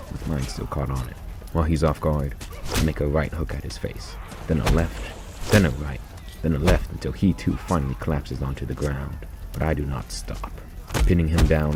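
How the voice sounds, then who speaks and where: Very deep-voiced man reading a grim story. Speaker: author reading own short story. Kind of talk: dramatic first-person story reading. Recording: noisy recording with constant background noise.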